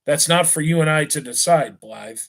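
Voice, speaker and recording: A man's voice: a little high, normal speed, vocal fry, a bit bright. A man reading the lines of a distrustful British army sergeant from a storybook, in a muttering, suspicious way.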